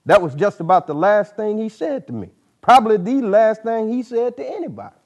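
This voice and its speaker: A man voicing a gruff, blunt old mentor in a folksy, plain-spoken way for a dramatic story reading. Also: sits high, expressive, fairly quick, dull audio, voice pushed up. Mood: insistent.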